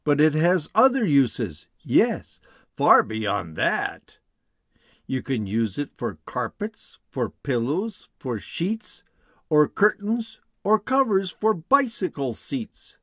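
A man's voice: fairly high pitch, big pitch swings, slow, a bit bright, no echo, clean studio sound, full character voice. A man voicing a defensive, boastful maker and salesman in a children's storybook, justifying himself.

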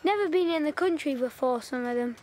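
Here is a gossipy village girl from wartime, as an actress plays her in a radio play. She talks high and eager, earnest and quick to chime in. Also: darkish tone, slight background noise, lightly acted. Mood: chatty. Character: chatty local Yorkshire village girl in wartime. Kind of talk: audio drama acting.

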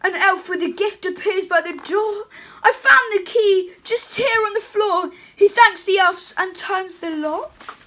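A young woman playing a jolly, chatty Father Christmas reading a storybook aloud at home, her voice pushed lower in a playful put-on. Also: unhurried, bright, forceful tone, exaggerated voice. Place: home room with curtains.

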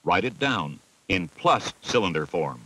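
A deep-voiced American man reads scripted instructional narration for a training film. His delivery is methodical and instructive, with a lively rise and fall that sets off each step. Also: unhurried, slightly rough, no room sound, rough recording. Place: voice-over recording.

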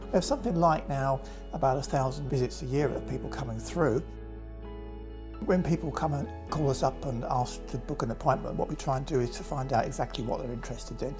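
A man in his fifties describing enquiry work in a brisk, practical documentary interview. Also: mid-range voice. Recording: clear music underneath.

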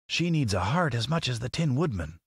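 A man's voice: mid-range voice, sing-song, fairly quick, husky, no echo, clean studio sound, pitched above the performer's own voice, exaggerated voice. A man voicing a straw-stuffed scarecrow in a storybook, humble, brave and earnest. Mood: puzzled. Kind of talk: storybook character voice.

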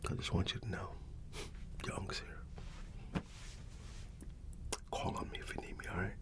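A middle-aged American man speaking softly and often in a whisper, in a casual, avuncular ASMR roleplay as a barber. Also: fairly low pitch, wildly swooping pitch. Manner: unhurried, casual, avuncular reassurance. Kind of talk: soft-spoken ASMR personal attention roleplay.

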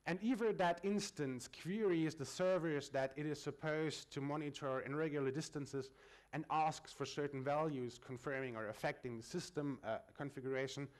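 A man's voice: fairly high pitch, normal speed. A man from Germany giving a technical conference presentation at a lectern, explaining in a friendly way with frequent filler sounds. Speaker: conference speaker.

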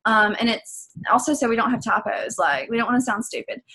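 A woman's voice: a little high, brisk, bright, forceful tone, slightly rough, poor audio. A young woman with a Southern US accent, talking casually and earnestly about her coaching business, with lots of fillers. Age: young adult. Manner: chatty, filler-heavy, earnest. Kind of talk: casual vlog talk to camera.

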